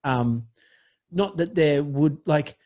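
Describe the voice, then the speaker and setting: A man's voice: fairly high pitch, slow, darkish tone. A man as a podcast guest, telling a candid personal story in a profane, rambling way with many restarts.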